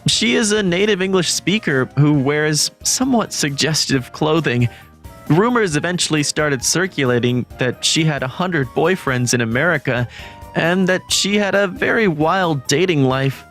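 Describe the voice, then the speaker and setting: A man plays an introverted teenage schoolboy narrating a manga romance. He is gloomy, lovestruck and quick to panic, putting himself down with dramatic flair. Music plays underneath. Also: on the high side, animated, a bit bright. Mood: lovestruck, glum. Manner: self-deprecating, dramatic. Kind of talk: first-person manga story narration.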